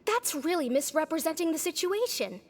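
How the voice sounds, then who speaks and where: Proper young game nurse's helper, high lively pitch, formal, lecturing, easily indignant. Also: female voice, normal speed, big-room sound. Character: prim, earnest young female infirmary helper, easily indignant. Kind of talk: video game voice acting.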